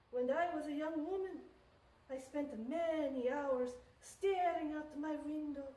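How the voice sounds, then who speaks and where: A woman's voice: sits high, mellow, a little hiss or hum. A woman doing a dreamy old granny with a Russian accent. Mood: reminiscing. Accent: put-on Russian. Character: gentle old grandmother, dreamy and nostalgic. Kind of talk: filmed solo theatre performance. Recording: echoey room, mic far off.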